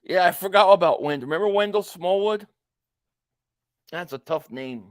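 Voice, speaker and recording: A man's voice: high-pitched, expressive, moderate pace, dry recording, clean studio sound. A man in his late forties hosting a casual live stream, rambling and joking as he reads viewer comments aloud. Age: 40s. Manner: rambling, chatty, jokey asides. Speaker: live stream host reacting to a football game.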